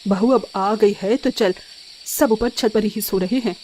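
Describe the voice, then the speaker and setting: A woman's voice: mid-range voice, measured pace, dark tone, a little hiss or hum, lightly acted, poor audio. A woman voicing an older mother-in-law in a cartoon story, practical, firm and coaxing.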